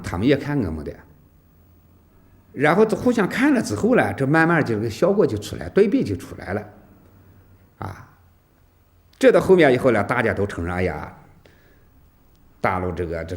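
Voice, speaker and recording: A man's voice: on the low side, sing-song, fairly quick, long pauses. Man with widely swinging intonation. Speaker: interviewee. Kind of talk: unscripted interview recollection.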